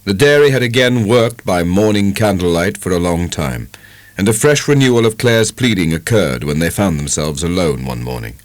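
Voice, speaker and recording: A man reads a literary novel aloud as a dramatic narrator. His pitch is low but moves in lively sweeps, and the sound is dry and close. The delivery is scripted and expressive. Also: normal speed, no echo.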